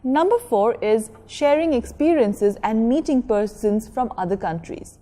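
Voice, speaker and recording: A woman giving an educational lecture in a measured, explanatory way, stressing key words. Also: on the high side, animated, warm tone. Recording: long reverberant echo of a hall.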